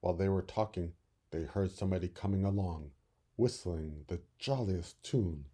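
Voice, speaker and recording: A man reading a children's story expressively, with deliberate, dramatic phrasing. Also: deep voice, dark tone, slightly rough. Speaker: storybook reader.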